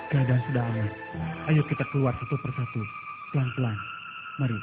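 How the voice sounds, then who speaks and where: A man playing a devout, gentle prophet in a religious cartoon, with a solemn and caring manner. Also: mid-range voice, fairly even intonation, brisk, natural voice. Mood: calm, careful. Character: devout, gentle male prophet leading hidden followers. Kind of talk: dubbed religious cartoon acting. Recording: dull lo-fi audio under loud music.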